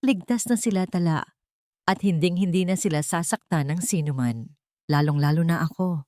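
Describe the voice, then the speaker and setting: A woman's voice: lowish voice, moderate pace, darkish tone, dry recording, studio quality, lightly acted. A woman with a Filipino accent voicing a remorseful, intense wealthy father in an audiobook drama. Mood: tender, remorseful. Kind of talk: audiobook character dialogue.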